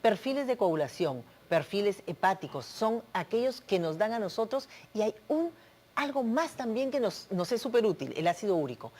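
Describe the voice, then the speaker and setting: A woman, an obstetrician guest on a TV health show, explaining medical care in a clear, methodical way and putting terms into plain words. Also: medium pitch, very animated, normal speed, darkish tone. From Peru.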